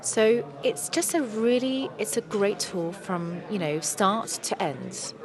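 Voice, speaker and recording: A woman attorney with a hoarse voice, eagerly explaining her product in an interview at a trade show. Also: medium pitch, expressive. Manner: fast, enthusiastic explaining with many fillers. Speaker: attorney and company representative. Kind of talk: conference booth interview. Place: busy trade show floor. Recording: crowd noise of a trade show. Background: crowd chatter.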